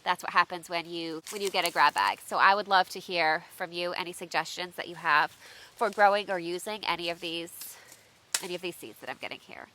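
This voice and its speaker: A woman with a bright, forward voice chats to her viewers outdoors, a little stuffy and nasal from allergies. She talks easily and keeps asking viewers for their tips. A faint hiss sits under the recording. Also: lowish voice, fairly even intonation, fairly quick, low-quality recording. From United States. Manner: chatty, asks viewers for tips. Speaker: homestead vlogger. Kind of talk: casual unboxing chat to viewers. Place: outdoors under shifting trees.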